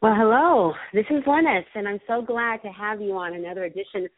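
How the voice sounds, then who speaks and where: A woman hosts a live talk show with bubbly, effusive enthusiasm. Her voice is a touch hoarse. The sound is thin and phone-like, with no room echo. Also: medium pitch, a little fast. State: slightly hoarse, recovering from a virus. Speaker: host. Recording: tinny phone-line sound.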